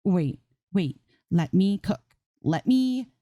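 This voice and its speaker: A woman voicing an excitable, indignant young female game streamer in a story reading, with slangy, exclamatory protests. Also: mid-range voice, slow pace, dry recording, studio quality, pitched above the performer's own voice. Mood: cocky grumbling. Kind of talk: acted dialogue in fan fiction reading.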